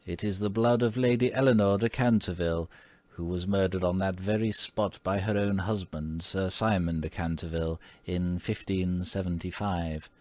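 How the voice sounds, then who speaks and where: A man voicing an old-fashioned elderly female housekeeper who speaks in a low, slow, mysterious voice. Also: fairly even intonation, a little mellow, no room sound, close to an everyday voice. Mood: ominous. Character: old woman housekeeper, quaint and ominous.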